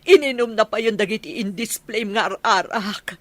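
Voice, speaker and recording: A woman's voice: mid-range voice, moderate pace, no room sound, lightly acted. A Filipino woman with a Filipino accent playing an anxious older mother, tender toward her son, in a radio drama. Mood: plaintive. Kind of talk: Philippine radio drama acting.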